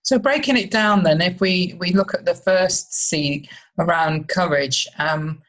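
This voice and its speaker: Lowish-voiced woman hosting a podcast. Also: measured pace, fairly bright tone, rough recording. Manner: friendly, chatty with restarts. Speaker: podcast host, relationship coach. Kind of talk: casual interview chat.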